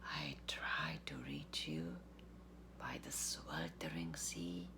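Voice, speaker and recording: A woman giving a literary reading, with deliberate, measured phrasing, long pauses and a breathy, gravelly voice. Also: very deep voice, fairly even intonation, whispered. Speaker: artistic director of a theatre, reading aloud.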